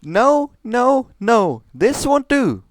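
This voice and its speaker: A man's voice: extremely high pitch, very animated, extremely slow, no room sound, studio quality, voice pushed up, heavily acted. A man voicing an imperious, pushy female pony princess who commands and soothes with condescending sweetness in a fantasy story. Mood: furious.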